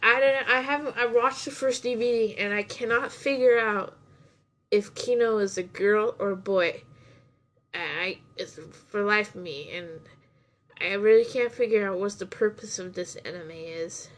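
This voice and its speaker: A young woman hosts a laid-back podcast, her voice in an ordinary middle pitch. She has a runny nose and sniffles now and then. Her talk rambles, with lots of restarts and side turns. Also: unhurried, fairly bright tone. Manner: rambling, chatty, many restarts. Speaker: podcast host reviewing anime. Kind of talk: casual chat.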